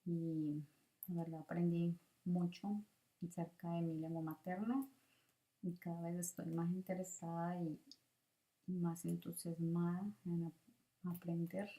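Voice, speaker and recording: Slow, low-voiced woman presenting. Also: dark tone, low-quality recording. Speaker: student teacher giving a presentation. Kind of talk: prepared spoken presentation, partly improvised.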